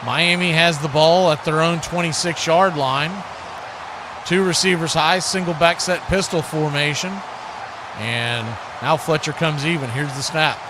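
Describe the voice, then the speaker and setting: A man's voice: fairly high pitch, fairly even intonation, a little slow. A man doing live football play-by-play as an announcer, speaking in clipped phrases that build on big plays. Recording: clearly noisy live stream.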